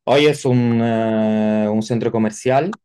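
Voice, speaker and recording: A man's voice: medium pitch, slow pace, clean tone. A man from Turin, Italy, whose Spanish has an Italian tinge, chatting casually as a guest with many fillers and self-corrections. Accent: Italian-tinged Rioplatense Spanish. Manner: relaxed, rambling, frequent fillers and self-corrections. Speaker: architect guest. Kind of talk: casual conversational interview.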